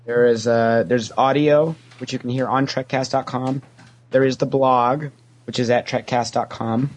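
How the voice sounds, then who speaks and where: Male podcast guest, mid-pitched voice, casual fan banter, opinionated with a sarcastic comic streak. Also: moderate pace. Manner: opinionated, animated when quoting, sarcastic joking. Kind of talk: casual, joking fan chat.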